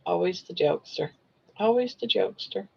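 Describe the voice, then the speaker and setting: A woman's voice: fairly low pitch, animated, normal speed, vocal fry, breathy edge, muffled recording. A woman in her sixties hosting a casual live stream, chatting and joking and talking sweetly to her pets. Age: 60s. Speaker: livestream host.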